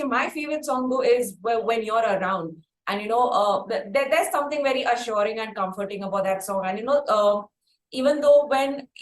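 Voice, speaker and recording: A woman with an Indian accent hosting a conversational music interview, speaking in wordy, halting phrases. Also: a little high. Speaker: interview host. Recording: echoey room, faint background noise.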